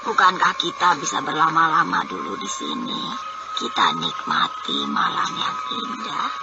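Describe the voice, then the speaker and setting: Creaky, menacing old witch. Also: sits low, wildly swooping pitch, full character voice. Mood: seductive, sly. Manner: slow, theatrical, coaxing and menacing. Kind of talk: Indonesian radio horror serial acting. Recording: dull, noisy old radio drama recording.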